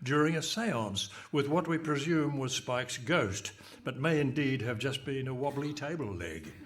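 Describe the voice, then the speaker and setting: A man's voice: mid-range voice, expressive, unhurried, lightly acted. A man playing a pompous, fussy announcer in an absurd radio comedy, delivering scripted introductions with theatrical formality. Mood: mock-solemn. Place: hall stage, live performance.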